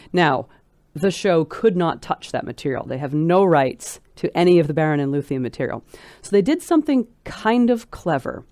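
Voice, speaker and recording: A woman's voice: low voice, a little mellow, no echo. A woman speaking as an interview guest, explaining and analysing in an articulate, emphatic, scholarly manner.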